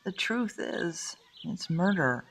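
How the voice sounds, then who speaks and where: A woman's voice: fairly low pitch, animated, takes it slowly, a bit bright, a little hiss or hum, heavily acted. An actress playing a troubled, skeptical suburban woman in an audio drama, speaking in a somber, questioning way. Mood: bitter disbelief.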